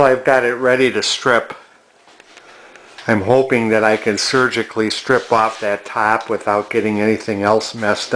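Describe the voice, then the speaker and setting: An older man casually narrating a hands-on project in a halting, plainspoken, matter-of-fact way. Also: on the low side, fairly bright tone. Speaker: antique restoration hobbyist demonstrating a refinishing project.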